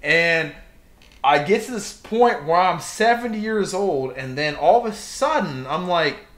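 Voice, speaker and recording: A man's voice: a little high, expressive, measured pace, fairly bright tone. A young man co-hosting a casual podcast, ranting with passion and bursting into emphatic outbursts. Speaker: podcast co-host.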